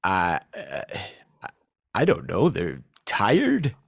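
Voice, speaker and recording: A man's voice: low-pitched, huge pitch swings, slow, gravelly, heavy background noise, heavily acted. A man playing a dim, easily offended, hapless rescuer in a comic audio play, hesitant and exasperated. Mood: flustered.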